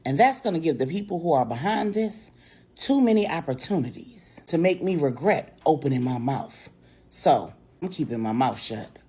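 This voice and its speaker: A woman's voice: low-pitched, animated, normal speed, mellow, breathy edge. A woman storyteller playing a stubborn, skeptical criminal man with an incredulous, challenging manner. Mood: defiant. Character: defiant, skeptical, wounded criminal man on the run.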